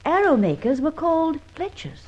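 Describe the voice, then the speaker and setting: A woman's voice: sits high, very animated, moderate pace, a little mellow. An Australian actress playing a curious young woman accomplice in a 1950s radio drama, asking questions eagerly. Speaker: supporting character. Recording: dull old radio recording.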